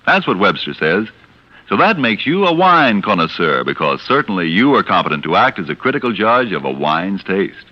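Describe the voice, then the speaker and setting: A man's voice: sits low, very animated, moderate pace. A man reading a scripted 1940s radio advertisement as commercial announcer. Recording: muffled vintage radio recording.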